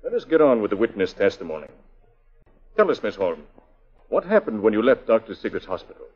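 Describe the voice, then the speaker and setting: An actor playing a stern, formal judge with a husky voice in a 1940s radio courtroom drama. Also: male voice, on the low side, very animated, a little fast. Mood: formal, firm. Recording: muffled old 1940s radio recording.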